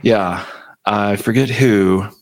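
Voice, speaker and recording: A man's voice: on the low side, slow pace. A man hosting a casual chat, rambling and joking with lots of swearing. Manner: rambling, profane, jokey.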